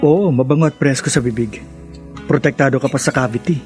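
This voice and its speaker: An actor playing a kind, open-minded older brother in a radio drama, reasoning and reassuring. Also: male voice, medium pitch, fairly quick, close to an everyday voice. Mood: warm, accepting. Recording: music behind the voice.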